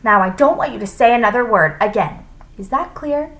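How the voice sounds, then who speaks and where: Woman acting out a snappish, menacing schoolteacher, wildly animated. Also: on the high side, brisk, pitched above the performer's own voice. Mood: furious. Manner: sharp, demanding, emphatic. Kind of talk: children's storybook character voice.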